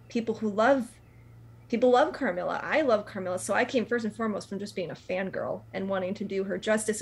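A young adult woman, an actress, chatting in a gushing, enthusiastic way in an interview over a video call. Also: medium pitch. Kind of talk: casual interview chat. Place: indoors on a group video call.

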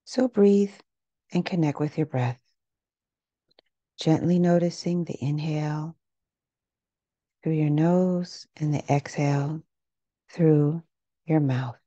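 A woman leading a guided meditation in a gentle, unhurried, measured way, leaving pauses between phrases. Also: sits low, no echo, highs cut off. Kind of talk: guided meditation, scripted narration.

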